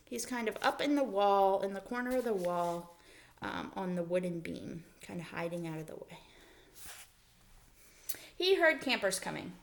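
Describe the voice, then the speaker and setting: A woman's voice: mid-range voice, lively intonation, moderate pace, creaky, long pauses. A woman schoolteacher leading a read-aloud lesson for children, explaining things simply and putting questions to her listeners. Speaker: elementary school teacher.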